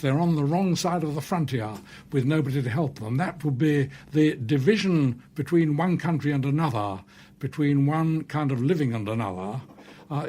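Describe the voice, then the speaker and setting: A man's voice: fairly high pitch, darkish tone. A man giving explanatory literary commentary in an interview.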